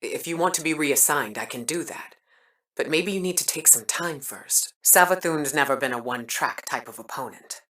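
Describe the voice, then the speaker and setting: An actress playing a stern, principled military commander in a video game, speaking formally and deliberately with a measured, weary air. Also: female voice, low-pitched, lively intonation, a bit bright, recorded from a distance. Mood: measured, firm. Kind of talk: dramatic video game voice acting.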